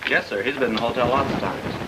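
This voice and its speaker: An actor playing a deferential, gossipy hotel employee in an old-time radio drama. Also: male voice, medium pitch, quick pace, lightly acted. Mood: obliging. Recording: noisy old radio recording.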